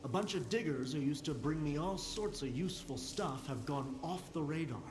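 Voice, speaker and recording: A man's voice: on the high side, normal speed, natural voice. Guy acting as chatty wry repair tech in a survival game, echoey room, noisy behind him. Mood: uneasy. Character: gruff, wry male technician trading in a post-apocalyptic zone. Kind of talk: video game dialogue.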